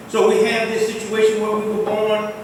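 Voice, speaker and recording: Older male imam, high voice, emphatic sermon delivery, non-native Arabic, recorded far off in a reverberant mosque hall. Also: completely flat delivery, moderate pace. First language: not Arabic. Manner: emphatic preaching with rising exclamations. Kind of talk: Friday sermon. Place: large mosque prayer hall. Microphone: distant mic in a mosque. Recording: echoey hall, far from the mic, faint rumble.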